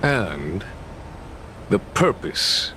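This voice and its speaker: A shrewd, unruffled general from a video game. He is deep and slow, with a wry, authoritative delivery that moves expressively through each phrase. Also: big pitch swings, pushed and bright, a little hiss or hum, full character voice. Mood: knowing, probing.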